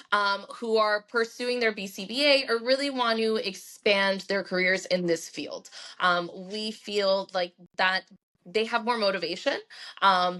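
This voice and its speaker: A woman's voice: mid-range voice, a bit bright. A woman whose first language is Spanish, speaking fluent English in an articulate, explanatory way as an interview guest. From Miami area, Cuban heritage. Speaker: guest, behavior analysis practice founder.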